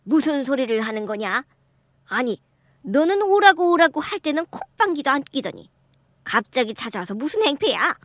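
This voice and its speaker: A woman's voice: high voice, big pitch swings, moderate pace, a bit bright, no room sound, voice pushed up. A woman voicing a harsh, contemptuous middle-aged mother-in-law who scolds shrilly. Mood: indignant.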